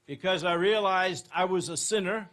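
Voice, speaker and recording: An older man from England, preaching in short phrases that pause for an interpreter. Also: sits high, a bit bright. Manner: speaks in short phrases, pausing for translation. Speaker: guest preacher. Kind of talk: sermon in English with interpreter.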